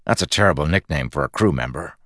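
Man playing a brisk, cocky crew leader, animated. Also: rumbling low, no echo, studio quality, exaggerated voice. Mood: wry, cocky. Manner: brisk, insistent, wry.

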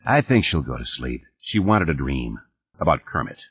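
A man's voice: extremely low pitch, very animated, normal speed, fairly bright tone, slightly rough, highs cut off, pitched below the performer's own voice, heavily acted. A man voicing a tired father and husband in a novel, patient until irritated. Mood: weary.

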